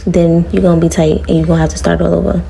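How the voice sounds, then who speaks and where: A young woman talks her viewers through a hair tutorial in a relaxed, chatty way. Her raspy voice sits low and dark with a gravelly edge. Faint room noise hums under the camera mic. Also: poor audio. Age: young adult. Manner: relaxed, chatty, drawn-out slang. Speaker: beauty vlogger. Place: small room before a green screen.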